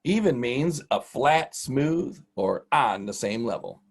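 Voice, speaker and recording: A man with a husky, medium-pitched voice leads a children's word lesson. He is informal and encouraging, chatting in a folksy way as he explains. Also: expressive, slow pace. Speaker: host, teacher. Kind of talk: casual children's vocabulary lesson.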